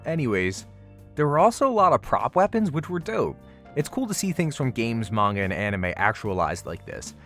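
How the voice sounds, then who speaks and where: A man's voice: mid-range voice, very animated, normal speed, soft background music. A man narrating a convention vlog in a casual, slangy voice-over with wry, playfully exaggerated commentary. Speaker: vlogger narrating a convention walkthrough.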